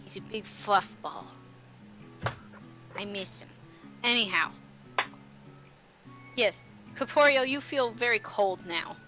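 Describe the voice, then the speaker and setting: A woman's voice: mid-range voice, animated, slow, long pauses, bright, forceful tone. A woman acting as game master, narrating a tabletop roleplay session in a casual, conversational way. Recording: faint music behind. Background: faint music.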